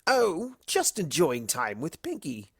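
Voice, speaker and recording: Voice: mid-range voice, wildly swooping pitch, slow pace, a bit bright, husky, dry recording, studio quality, pitched above the performer's own voice, heavily acted. A narrator voicing a sly, theatrical male prankster creature of chaos in a dramatic, teasing, smug way for a cartoon fan story.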